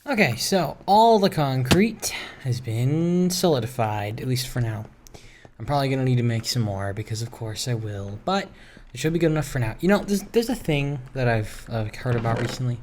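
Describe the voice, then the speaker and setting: A man's voice: medium pitch, lively intonation, normal speed, a little mellow, a little hiss or hum. A man doing casual Let's Play commentary, musing and talking to himself in a rambling way as he plays. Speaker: gaming video creator. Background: game music.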